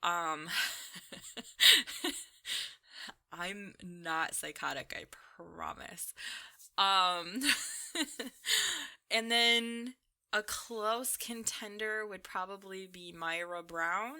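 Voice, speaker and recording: Young female gaming creator: bright, crisp-edged voice, a little slow-paced, informal and rambling, chatting casually. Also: medium pitch. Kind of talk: casual chatty commentary while playing a game.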